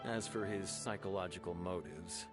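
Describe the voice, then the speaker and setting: Actor as a low-voiced, formal military official. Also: male voice, over loud music, close to an everyday voice. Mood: grave, explanatory. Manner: measured, formal, serious. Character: earnest, formal male military official giving a report. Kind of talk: video game voice acting.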